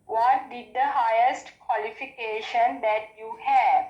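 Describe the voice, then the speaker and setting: A woman's voice: fairly high pitch, fairly even intonation, unhurried. A woman from Sri Lanka with a Sri Lankan accent, a student who hesitantly repeats each question before she answers it. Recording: dull, echoey call audio.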